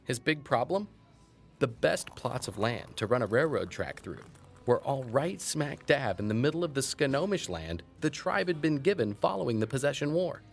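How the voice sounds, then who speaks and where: Talkative local historian for audio fiction; mid-range voice, relishes old lore, folksy and dryly amused, given to tangents. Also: male voice, medium pitch, expressive, normal speed, creaky, faint music behind. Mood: enthusiastic, digressive. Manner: folksy, wry, digressive, with thoughtful asides. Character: chatty small-town male historian who relishes local lore. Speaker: town historian. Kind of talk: conversational storytelling in an audio drama.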